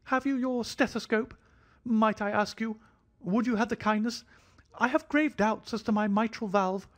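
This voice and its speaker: A man voicing a jittery, fussy little man of about thirty, an anxious hypochondriac with a thin, high voice. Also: normal speed, close to an everyday voice. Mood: excited. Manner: fidgety, effusive, fretful.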